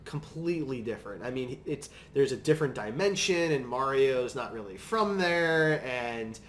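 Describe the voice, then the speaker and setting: A man's voice: medium pitch, lively intonation, moderate pace, echoey room. A man hosting a podcast, talking casually and at length about films with his own opinions. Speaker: podcast host. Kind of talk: casual film discussion. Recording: noisy room background.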